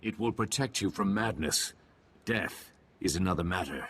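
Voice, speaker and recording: A man voicing a grave, calm alien mystic in a video game, giving terse, ominous pronouncements in a husky, creaky voice. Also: sits low, normal speed, heavily acted. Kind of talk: video game cutscene acting.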